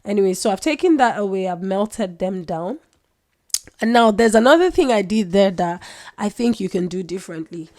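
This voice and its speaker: A woman's voice: medium pitch, lively intonation, moderate pace, a little mellow. A woman with a cold, hosting a casual tutorial vlog, chatty and rambling, often correcting herself. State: has a cold. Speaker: skincare maker, channel host.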